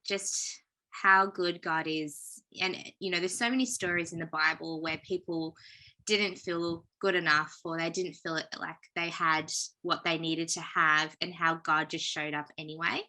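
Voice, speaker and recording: Woman host asking thoughtful questions, lowish voice, kinda bright. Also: fairly even intonation, a little slow. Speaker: podcast host. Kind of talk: casual interview talk.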